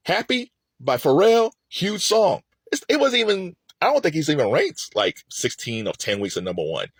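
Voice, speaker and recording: A deep-voiced man talks as a music commentator, with very lively pitch movement. He is conversational and emphatic, pressing his opinions straight to the listener. The recording is close and dry. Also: slightly rough, no echo. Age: middle-aged.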